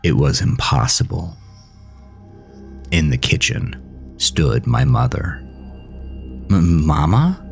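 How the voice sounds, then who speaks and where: A man tells a scripted horror story in the first person. His voice is low and unhurried, with a measured, dramatic delivery, and music plays behind him. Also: gravelly.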